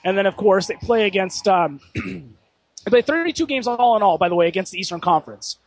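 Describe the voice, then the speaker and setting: Man, hockey broadcaster, high voice, quick talker, losing his voice. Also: expressive, husky, no room sound, low-quality recording. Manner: quick, asks long multi-part questions. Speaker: play-by-play broadcaster hosting a guest. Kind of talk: hockey radio broadcast chat.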